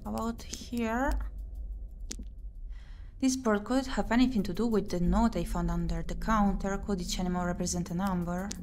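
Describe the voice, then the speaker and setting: A woman's voice: mid-range voice, steady tone, moderate pace, darkish tone, a little hiss or hum. A woman streaming a visual novel playthrough, reading game text aloud expressively and muttering short asides to herself. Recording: music playing behind the voice.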